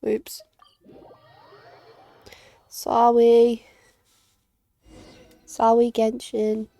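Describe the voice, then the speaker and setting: A woman's voice: on the high side, monotone, extremely slow, creaky, warm tone, no room sound. A woman giving casual, offhand live commentary as a video game streamer. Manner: offhand, informal.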